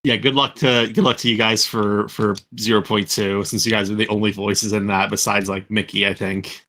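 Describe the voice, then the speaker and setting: A man's voice: fairly low pitch, little pitch movement, normal speed, sharp tone. A man in a casual chat, rambling and often restarting his sentences. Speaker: podcast panelist and fandub voice actor.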